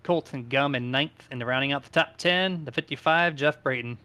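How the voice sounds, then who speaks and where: A man's voice: medium pitch, normal speed, pushed and bright. A man doing live play-by-play commentary on a sim race in a casual, conversational manner.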